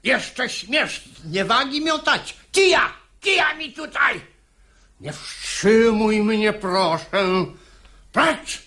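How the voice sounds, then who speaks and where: A man's voice: extremely high pitch, very animated, extremely slow, pushed and bright, heavily acted. An actor playing a hot-tempered, gullible, domineering father in a verse radio comedy, blustering and declaiming theatrically. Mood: furious.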